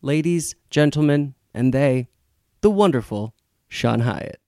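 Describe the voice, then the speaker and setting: A man's voice: mid-range voice, expressive, slow pace, darkish tone. A man hosting a podcast, chatting casually and laughing easily, recorded at home. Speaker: podcast host. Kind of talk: casual chat.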